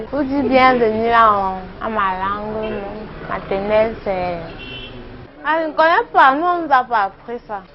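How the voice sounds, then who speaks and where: A woman with a Cameroonian accent, answering casually in a street interview. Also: a little high. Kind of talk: casual vox pop. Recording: dull street audio, clear crowd noise.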